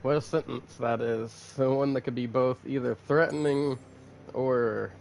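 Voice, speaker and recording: A man's voice: mid-range voice, fairly even intonation, unhurried, vocal fry, darkish tone, a little hiss or hum. A man giving casual, slangy, wry commentary while playing through a video game. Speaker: gamer reacting to a game.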